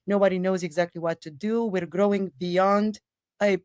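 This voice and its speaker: A woman's voice: mid-range voice, fairly even intonation, normal speed, a little mellow, no room sound, studio quality. A middle-aged woman from Romania, her native language Romanian, answering interview questions at length, with emphatic repetitions and long digressions. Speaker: people operations director, guest.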